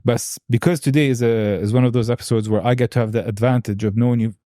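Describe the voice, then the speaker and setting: A man's voice: mid-range voice, steady tone, quick pace, mellow, no room sound, clean studio sound. A man hosting a podcast in a casual, informal and rambling interview chat. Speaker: podcast host.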